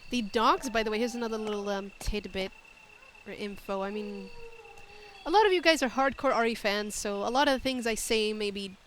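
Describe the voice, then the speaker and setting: A woman's voice: mid-range voice, normal speed, a little hiss or hum. A young Swedish-born woman with an American accent, chatting casually while streaming a game. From Sweden. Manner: chatty, laughing, explaining trivia. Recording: music playing behind.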